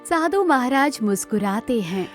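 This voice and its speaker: A woman's voice: fairly high pitch, animated, unhurried, faint music behind. A woman narrating a scripted children's moral story as the storyteller.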